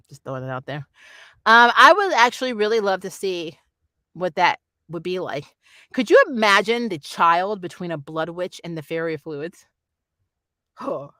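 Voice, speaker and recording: Bright, animated reviewer in casual fan chat. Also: medium pitch, no echo, clean studio sound. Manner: animated, blunt, slangy, laughs often. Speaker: review host reading viewer comments.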